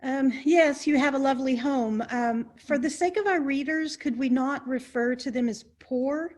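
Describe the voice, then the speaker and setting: High-pitched actress as eager reporter. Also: female voice, normal speed, a little mellow, lightly acted. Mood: polite. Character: eager, polite young woman reporter. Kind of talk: stagey comedy play acting.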